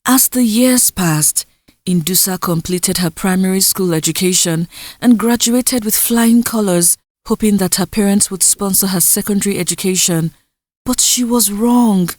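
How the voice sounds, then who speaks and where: A woman's voice: mid-range voice, moderate pace, a little mellow, no echo. A woman reading scripted folktale narration as a storyteller.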